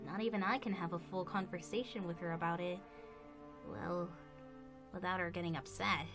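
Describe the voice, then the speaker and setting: A woman voicing a kindly female pony guardian in an animated fan drama, gentle and reassuring. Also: on the low side, normal speed, music in the background, lightly acted. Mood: concerned.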